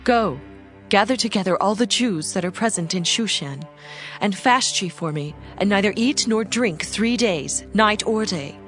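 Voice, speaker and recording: A woman's voice: medium pitch, expressive, measured pace, a bit bright, soft background music. A woman playing a resolute young queen.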